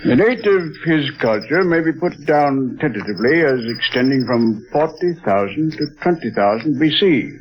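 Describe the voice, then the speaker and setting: A man voicing a scholarly doctor, lecturing, measured, old radio drama, muffled lo-fi broadcast. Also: medium pitch, expressive, a little hiss or hum, close to an everyday voice. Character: learned older male doctor, scholarly and sure. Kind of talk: 1940s radio acting.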